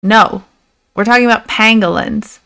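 A woman's voice: mid-range voice, normal speed, gravelly, a bit bright, clean tone. A woman voicing an earnest, exasperated pangolin who insistently corrects others in a read-aloud storybook. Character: exasperated, earnest pangolin trying to explain himself.

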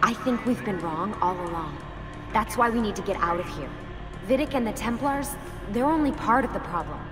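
An actress playing a guarded, earnest young woman assassin in a video game, speaking in a measured way. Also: mid-range voice, lively intonation, lightly acted. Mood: urgent, guarded. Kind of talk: video game cutscene acting. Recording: music behind the voice.

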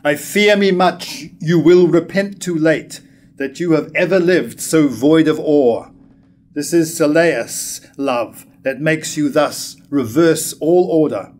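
Older man acting a slow, bitter wronged husband. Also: fairly high pitch, a little mellow. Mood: reproachful, solemn. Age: 70s. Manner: measured, declamatory. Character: wronged husband, bitter, reproachful, mournfully prophetic. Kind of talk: staged verse reading of Jacobean tragedy. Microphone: stand microphone at lectern.

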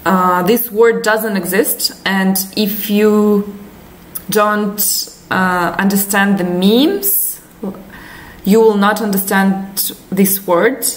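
Russian accent young woman teaching, slow chatty delivery, lots of ums, echoey little room. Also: mid-range voice, fairly even intonation. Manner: chatty, laughs often, many fillers. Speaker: language teacher. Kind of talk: casual explanatory lesson. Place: small room indoors.

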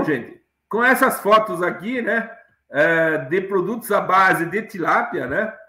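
High-voiced man lecturing over video call. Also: bright, forceful tone. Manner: rambling, many fillers and restarts. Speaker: guest lecturer. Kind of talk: online lecture with slides. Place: home study with bookshelves. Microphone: webcam on video call. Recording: echoey room on video call.

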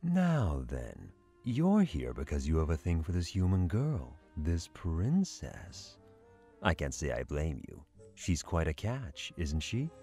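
A man's voice: extremely low pitch, wildly swooping pitch, takes it slowly, dark tone, soft background music, full character voice. A man playing a sly, dangerously alluring sea sorcerer in an audio drama, silky, theatrical and mock-sweet. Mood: slyly persuasive. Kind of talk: audio drama villain acting.

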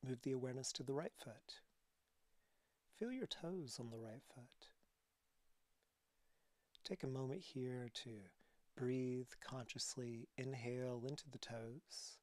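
A man leading a guided relaxation as a yoga teacher, speaking calmly and softly with breathy phrases and long pauses. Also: medium pitch, dry recording. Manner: calm, soothing instruction.

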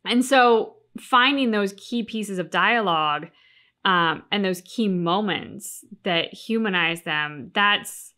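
A woman's voice: mid-range voice, takes it slowly, sharp tone. A woman in her thirties chatting casually and thoughtfully as co-host of a reaction show. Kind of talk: casual, analytical chat. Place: small furnished room, on a couch.

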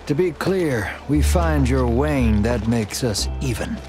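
An actor playing an aloof, cynical Old West mercenary in a video game, dry and unimpressed. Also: male voice, medium pitch, animated, slow pace, darkish tone. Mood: dry, reluctant. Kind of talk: video game western acting. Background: music.

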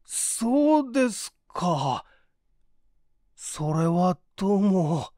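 A woman's voice: on the low side, big pitch swings, drawn-out words, mellow, dry recording, studio quality, voice pushed up, exaggerated voice. A woman voicing a timid, down-and-out man, polite and hesitant, in a story reading. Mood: meek, muttering. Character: timid, flustered, down-and-out young man, polite and weary.

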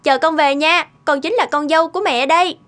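A woman's voice: high-pitched, fairly quick, a bit bright. An actress voicing an eager young noble lady in a cartoon, theatrical and girlish. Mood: smug, eager. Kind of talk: Vietnamese cartoon dubbing.

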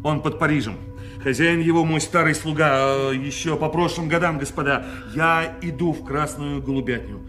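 A man playing a composed, noble musketeer in a radio play, measured and authoritative. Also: on the high side, fairly even intonation, fairly bright tone, soft background music, natural voice. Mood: resolute. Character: composed, resolute noble musketeer, a count in disguise.

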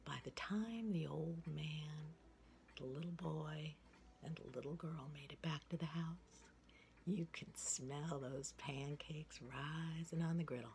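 A woman in her sixties telling a children's story in an expressive, playful, sing-song way. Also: low-pitched, slow, vocal fry. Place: indoors, room with wooden ceiling. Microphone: phone at arm's length. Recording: noisy home recording with room ambience.

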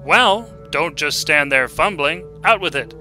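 A man voicing a smug, sharp little troll. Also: on the high side, expressive, faint music behind, full character voice. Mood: impatient, mocking. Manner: brisk, flippant, wisecracking. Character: smug, impatient little male troll, wish-granter. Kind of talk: dramatic storybook reading.

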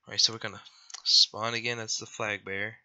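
A man's voice: lowish voice, little pitch movement, fairly quick, sharp tone, lo-fi sound. A young man with a scratchy voice after being sick, rambling and apologizing through casual gameplay commentary. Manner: rambling, apologetic, lots of fillers. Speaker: gaming youtuber.

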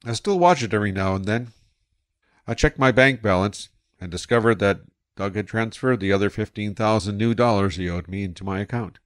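An actor playing a male spaceship captain who narrates his voyage in the first person, quiet and reflective. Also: on the low side, a little fast, no room sound, studio quality, lightly acted. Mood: wistful. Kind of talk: scripted audio drama narration.

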